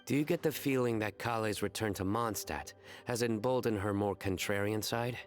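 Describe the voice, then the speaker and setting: Casting: actor for grave young game lawman, deep-pitched, measured and serious. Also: faint music behind, natural voice. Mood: grave, caring. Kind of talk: video game cutscene acting.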